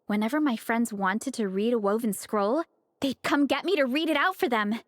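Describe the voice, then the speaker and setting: A woman plays an easygoing, sociable young woman from a video game. Her voice is high, and she chats along in a breezy, upbeat, friendly manner. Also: normal speed, no echo, studio quality, natural voice. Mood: cheerful, reminiscing. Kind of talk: English video game voice acting.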